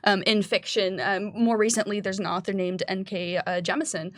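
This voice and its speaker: A woman moderating a casual panel discussion, speaking in an agreeable, somewhat halting way. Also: mid-range voice, fairly even intonation, dry recording, studio quality.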